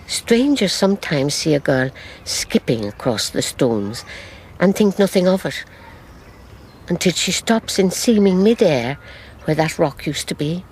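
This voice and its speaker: An actress playing an elderly Irish grandmother in a radio drama, recounting her past in a measured, sorrowful, wistful way. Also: on the low side, very animated, a little mellow, slight background noise. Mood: eerie, reflective. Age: older.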